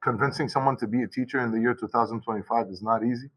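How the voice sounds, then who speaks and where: A man who teaches high school Portuguese, talking casually and at length on a video call from his school, with many restarts and asides. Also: steady tone, rapid-fire, muffled recording.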